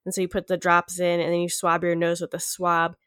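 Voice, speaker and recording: A young woman from the United States with a congested, nasal voice, short of breath, talking slowly and quietly in a casual chat. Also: lowish voice, completely flat delivery, clear, resonant tone, no echo, studio quality. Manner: talks slower and quieter, winded. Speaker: sick friend.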